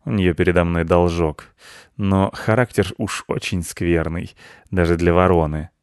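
A grumpy storybook ginger tomcat, read by a man, talks in a lowish drawl. He sounds pompous, sceptical and disapproving of everyone. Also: steady tone, a little slow, darkish tone, clean tone, no room sound, clean studio sound. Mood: grudging. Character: self-important, sceptical, grumpy male ginger cat.

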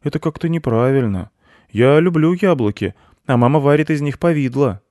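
A man's voice: mid-range voice, animated, normal speed, darkish tone, no echo, studio quality, voice pushed up, lightly acted. A man voicing a friendly, well-mannered, eager little boy in a children's story. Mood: protesting. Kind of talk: storybook character voice.

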